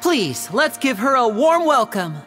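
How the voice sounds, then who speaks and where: A charming young stage magician from a video game, with a fairly high, youthful voice. He addresses people politely and with polished theatrical flair. Soft music plays behind him. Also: sing-song, measured pace, exaggerated voice. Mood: theatrical showmanship. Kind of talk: video game character acting.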